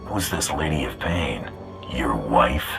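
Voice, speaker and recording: A man playing a tough bounty hunter in a video game, speaking in terse, deadpan, coolly threatening lines. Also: very deep voice, slow pace, gravelly, over music, exaggerated voice. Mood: cool threat.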